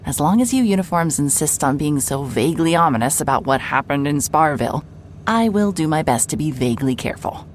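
A woman playing a hard-hearted, sarcastic private investigator with a deadpan delivery in a mystery audio drama. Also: low-pitched, a little fast, slight background noise. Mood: sarcastic, exasperated. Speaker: lead actor in an audio drama. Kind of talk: cinematic audio drama acting, noir narration.

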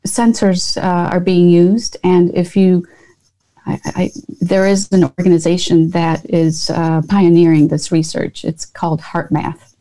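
A woman's voice: fairly low pitch, little pitch movement, a little slow, mellow, poor audio. A woman in her fifties, from Poland, speaking earnestly as a guest entrepreneur in an interview, with many fillers and restarts. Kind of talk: conversational interview.

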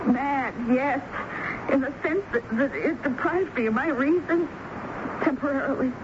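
An actress playing a lovelorn, defiant jilted woman confessing in a 1970s radio drama, with halting, dramatic delivery. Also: high-pitched. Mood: proud, defensive. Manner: dramatic, halting, repeats words for emphasis. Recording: dull, noisy 1970s radio broadcast recording.

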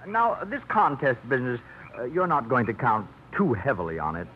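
Cecil, an actor playing a hesitant, fumbling suitor. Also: male voice, medium pitch, lively intonation, moderate pace, lightly acted. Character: Cecil, a mild, cautious small-town suitor. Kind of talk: 1950s radio drama acting. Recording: muffled old radio broadcast, faint hiss.

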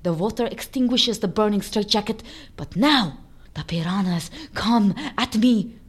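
A woman's voice: mid-range voice, measured pace, slightly rough. An actress playing a proud, cool female escapologist in an audio drama, with a self-assured, dramatic manner.

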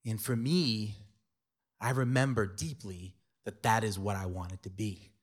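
A man's voice: fairly low pitch, unhurried. A middle-aged man from New York telling a personal story to an audience, conversational and emphatic, with playful asides. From New York City.

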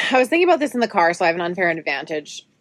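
A woman's voice: lowish voice, big pitch swings, very fast, a bit bright, dry recording. A woman with a Canadian accent hosting a casual group discussion in a joking, teasing way. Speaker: podcast host.

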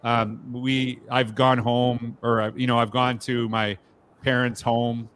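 A man's voice: mid-range voice, flat delivery, takes it slowly, fairly bright tone, a little hiss or hum. A man hosting a podcast interview, talking in a reflective, conversational way with frequent fillers and restarts. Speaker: podcast host. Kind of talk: casual interview chat.

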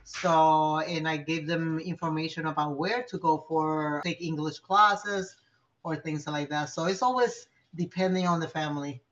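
A woman's voice: low-pitched, measured pace. A middle-aged woman born in New York and raised in Puerto Rico, a community organizer chatting casually as a podcast guest and laughing often. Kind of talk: casual interview chat. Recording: slightly dull lo-fi audio.

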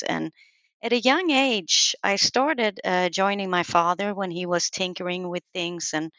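Low gravelly woman, dry sound. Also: fairly bright tone, no echo, muffled recording. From Sweden. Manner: thoughtful, many uh fillers. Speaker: guest, program manager. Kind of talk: interview answers.

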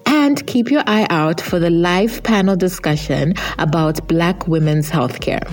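A woman's voice: lowish voice, measured pace. Upbeat Zambian woman podcast host. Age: 30s. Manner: upbeat, chatty, laughs easily. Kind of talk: podcast intro and interview.

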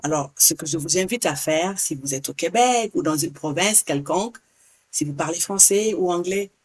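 Woman advocate, middle-aged, talks earnest and lively, repeats herself a bit. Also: deep voice, breathy edge. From Niger. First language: Hausa and Zarma. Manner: earnest, animated, repeats words. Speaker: guest, patient advocacy leader. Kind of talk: podcast interview.

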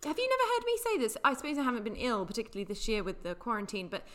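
A woman with a fairly high, bright and forward voice co-hosts a casual podcast. She explains slang in a chatty way and playfully corrects her partner. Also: wildly swooping pitch, racing. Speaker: podcast co-host.

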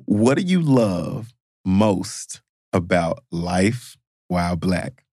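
A male podcast host runs a playful quiz, his voice leaping up and down with excitement. The sound is close and dry, with no room in it. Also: deep voice, drawn-out words, dry recording. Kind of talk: casual podcast chat.

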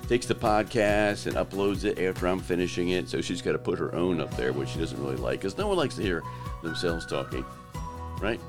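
A man hosting a podcast in casual, joking chat, his voice lowered by allergies. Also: expressive, brisk, music in the background. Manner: joking, teasing. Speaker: podcast host. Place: South Carolina.

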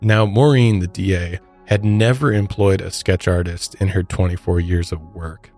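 A man's voice: low voice, steady tone, normal speed, darkish tone, soft background music. An American man hosting a podcast, telling a true crime story in a conversational way with informal asides. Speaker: podcast host.

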